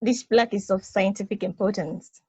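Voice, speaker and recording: A woman's voice: medium pitch, normal speed, dark tone, husky, no room sound, lightly acted. A woman playing a responsible, prim space probe in a table read, articulate and emphatic, on a dull lo-fi microphone. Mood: exasperated, earnest. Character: responsible, fretful female space probe, prim elder-sister type.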